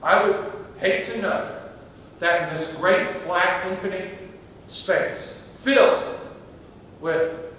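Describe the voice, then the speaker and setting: Man acting an echoey, high-voiced eccentric stranger. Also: sharp tone, heavily acted. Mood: wistful, earnest. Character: eccentric, chatty, pedantic but kindly stranger at a bus stop. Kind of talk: live stage comedy acting. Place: theatre stage, recorded from far off. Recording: distant, echoey theatre hall, noisy rumble.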